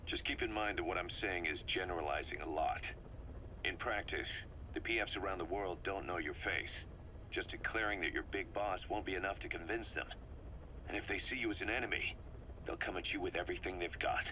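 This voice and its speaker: A man's voice: brisk, pushed and bright. An actor voicing a fervent, embittered mercenary second-in-command in a video game, briefing his boss in an emphatic, persuasive way. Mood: firm, cautioning. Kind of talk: video game dramatic dialogue. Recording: noisy cassette briefing with background rumble.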